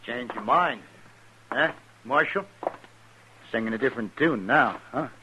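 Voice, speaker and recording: An actor playing a cocky, greedy, callous frontier prisoner with an American Western accent in an old-time radio drama. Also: male voice, mid-range voice, unhurried, natural voice. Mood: cocky, gloating. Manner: brash, gloating. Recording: noisy vintage radio recording.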